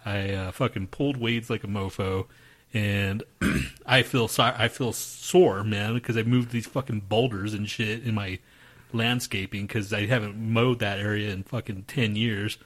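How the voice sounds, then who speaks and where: A man's voice: on the low side, steady tone, unhurried, a bit bright. A man co-hosting a podcast, talking in a loose, profane, rambling way about his day. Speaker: podcast co-host. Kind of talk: crude casual chat.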